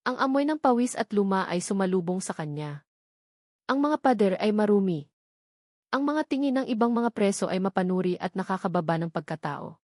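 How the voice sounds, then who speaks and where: A woman with a Filipino accent reading a scripted dramatic story in a measured, emotive way, in short weighted phrases. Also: mid-range voice, animated, a little mellow, no room sound, clean studio sound. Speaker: story narrator.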